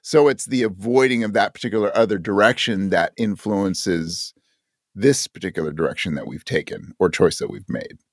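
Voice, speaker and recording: A man with a fairly low voice speaks as a guest in a casual interview. He is reflective and halting, often rewording his point as he works it out. The sound is close and dry. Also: lively intonation, no echo. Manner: thoughtful, halting, rephrases as he goes. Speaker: interview guest, audio drama creator.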